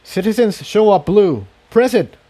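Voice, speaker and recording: Man voicing a determined, raised-pitch pony commander. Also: very animated, measured pace, warm tone, full character voice. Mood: urgent, commanding. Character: determined male pony commander, urgent and knowledgeable.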